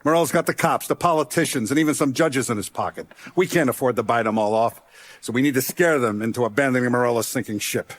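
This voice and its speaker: A man's voice: mid-range voice, no echo, lightly acted. Guy playing a hardened mob boss from a video game, commanding, calculating, streetwise, dry close sound. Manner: deliberate, authoritative, streetwise gangster talk. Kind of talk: video game character acting.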